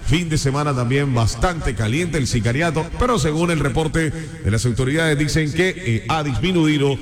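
A man's voice: on the high side, normal speed. Roomy-sounding radio newsman. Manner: lively banter, then steady emphatic news reading. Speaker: radio host and newsreader. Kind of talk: morning radio news and banter. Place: radio studio.

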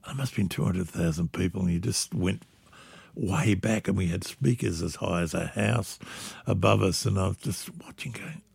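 Gravelly-voiced older man reminiscing. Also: low voice, moderate pace, no echo. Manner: animated storyteller, laughs often. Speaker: musician guest. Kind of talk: reminiscing interview chat.

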